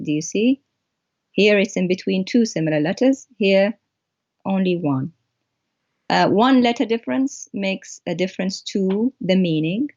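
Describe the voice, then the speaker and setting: A woman whose first language is Arabic, a guest explaining text on screen in a halting way with many pauses. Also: medium pitch, darkish tone, muffled recording. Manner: halting, pointing things out.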